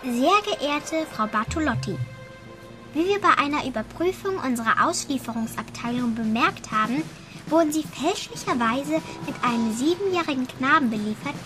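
A woman's voice: high voice, lively intonation, moderate pace, over music. A woman acting a clever, forthright young girl in a children's radio play, direct and precise. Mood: formal reading.

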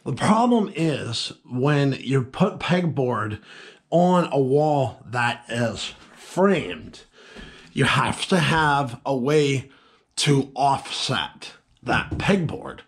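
A man's voice: mid-range voice, lively intonation, slightly rough. A man in his thirties casually talking to camera, explaining his shop and tackle projects step by step. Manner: slow, easygoing, explaining step by step. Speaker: fishing vlogger. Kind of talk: casual vlog talk to camera. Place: outdoors by a pond and in a small wooden shop shed. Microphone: camera-mounted mic.

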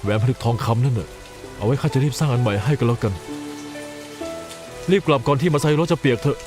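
A composed cartoon guardian played by a man, with a mid-pitched voice and a matter-of-fact, protective tone. Music and rain sound behind him. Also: fast, lightly acted. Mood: calm. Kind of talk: Thai anime dub acting.

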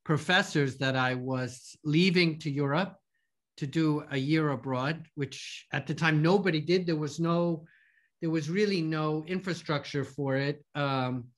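New York man in his fifties, halting. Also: on the high side. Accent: American, New York. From New York, USA. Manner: hesitant, many fillers and repeated words. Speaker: podcast guest, lawyer. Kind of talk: casual interview talk.

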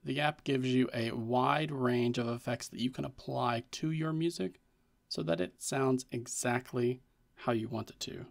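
Male tech tutorial narrator, average pitch, noticeably creaky, scripted and explanatory delivery. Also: unhurried.

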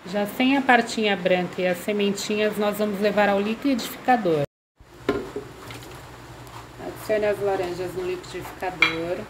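A woman's voice: medium pitch, normal speed, background noise. A woman hosting a home cooking channel, walking through a recipe step by step in a friendly way, fond of diminutives. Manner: friendly, uses many diminutives.

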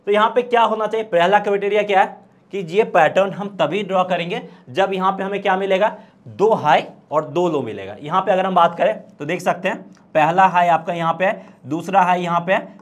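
High-pitched Indian man teaching. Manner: didactic, asks and answers own questions. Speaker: stock trading instructor. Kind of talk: explanatory video lesson with rhetorical questions. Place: indoor studio, presenting at a screen. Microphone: lapel.

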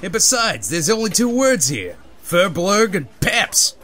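Man playing an exasperated, animated newspaper boss. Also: sits high, unhurried, heavily acted. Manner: blunt, exasperated. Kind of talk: fan comic-book dub.

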